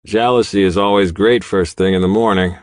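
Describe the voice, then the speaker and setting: Man playing a deep-voiced cocky biker. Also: fairly even intonation, fairly quick, no room sound, clean studio sound, natural voice. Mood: cocky, gruff.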